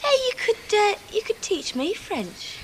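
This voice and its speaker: A woman's voice: very high-pitched, big pitch swings, unhurried, a little hiss or hum, heavily acted. A chatty landlady. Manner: chatty, homely, colloquial. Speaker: woman posing as a landlady.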